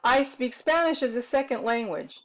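A woman's voice: high voice, lively intonation, normal speed. A middle-aged American woman from New York City giving an articulate, upbeat scripted introduction to her services as a tutor, recorded in a small room at home. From New York City, United States. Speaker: tutor and writing consultant. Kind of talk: scripted self-promotional introduction to camera.

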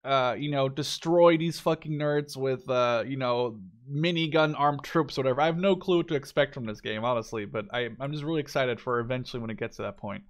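A man's voice: mid-range voice, a little fast, few pauses. A young man giving casual, informal let's play commentary on a game. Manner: informal, sweary. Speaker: gaming video creator.

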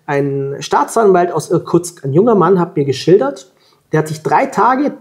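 A man from Germany in his forties, telling lively travel anecdotes as the guest at a table interview, on a lapel mic. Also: high-pitched, normal speed. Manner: lively anecdotal storytelling, colloquial. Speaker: guest, long-distance scooter traveller. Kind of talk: storytelling in a sit-down interview. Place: room with plants at a table.

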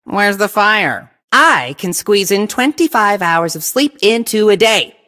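A woman's voice: medium pitch, animated, unhurried, bright, forceful tone. A woman voicing a cute, lazy slacker fox from a video game, complaining wryly. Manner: lazy, wry, sighing complaints. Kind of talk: video game character voice lines.

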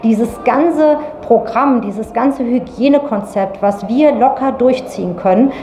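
A woman's voice: medium pitch, dark tone. A middle-aged woman giving a serious spoken statement in an interview. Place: tiled room indoors. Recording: echoey tiled room, faint noise.

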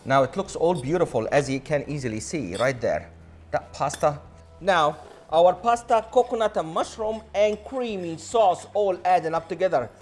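A man's voice: a little high, animated, normal speed, darkish tone, faint music behind. A man in his thirties presenting a cooking demonstration as a chef, with an enthusiastic, showy manner. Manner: enthusiastic, showy, addresses audience as a showman. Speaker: chef hosting a cooking show. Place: indoor modern studio kitchen. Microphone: lapel mic.

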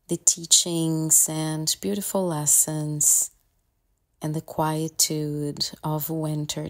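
A woman guiding a meditation in a calm, gentle way, leaving long pauses between short phrases. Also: low voice. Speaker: meditation guide. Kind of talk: guided meditation, soft and soothing instruction.

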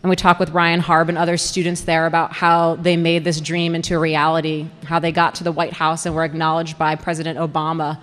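Low-voiced young woman presenting in a reverberant hall. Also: monotone, brisk, few pauses, a bit bright. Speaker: college program coordinator presenting. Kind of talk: prepared public presentation with slides. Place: indoor presentation room with audience. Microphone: handheld-style mic on stand. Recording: reverberant hall through PA microphone.

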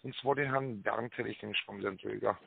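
A man giving a rehearsed telephone sales pitch, full of tag words and hesitations, with a raspy voice. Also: steady tone, normal speed, no echo. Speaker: telemarketing caller pitching a prize draw. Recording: narrow tinny phone line.

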